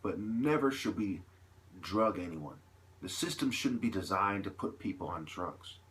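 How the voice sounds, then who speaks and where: A man with a fairly low voice speaks off the cuff to his viewers in an impassioned monologue. He builds his points through emphatic repetition and rhetorical questions, often halting between phrases. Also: lively intonation, a bit bright. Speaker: vlogger delivering an opinion monologue.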